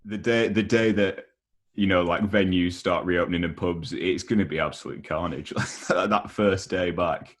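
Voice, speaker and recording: A man's voice: sits low, fairly even intonation, measured pace. A man with a British accent interviewing in a casual, easygoing, slangy way.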